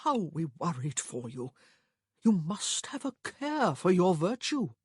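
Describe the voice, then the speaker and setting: A woman's voice: lowish voice, sing-song, slow, slightly rough, no echo, clean studio sound, heavily acted. A woman voicing a fussy, anxious upper-class brother in an audiobook, with an earnest, blustering manner.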